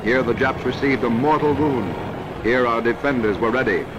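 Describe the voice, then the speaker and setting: A man's voice: mid-range voice, moderate pace, lo-fi sound, over music. An American man narrating a scripted wartime newsreel in a dramatic, declamatory, patriotic manner, on a vintage 1940s soundtrack.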